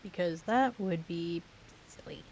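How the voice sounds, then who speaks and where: A woman streaming a game, slightly hoarse, talking to herself with dry asides as she plays. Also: on the low side, a little slow, a little mellow, slight background noise. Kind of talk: casual gameplay commentary.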